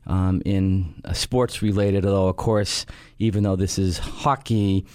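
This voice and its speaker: A man's voice: low voice, steady tone, unhurried, warm tone, no room sound, studio quality. A middle-aged man hosting a casual radio talk show, rambling with many fillers and restarts. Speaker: radio show host and company head. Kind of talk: casual radio chat and interview. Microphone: studio microphone.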